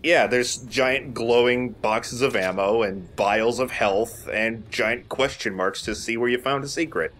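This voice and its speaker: A man's voice: mid-range voice, a little slow, hardly any pauses, fairly bright tone, a little hiss or hum. A man giving casual commentary as he plays and hosts a video game let's play. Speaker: gaming let's play host, player.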